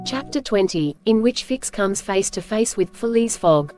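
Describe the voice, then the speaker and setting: A woman reading scripted storybook narration as host, with measured, clear phrasing. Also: medium pitch, lively intonation, a little mellow, faint music behind.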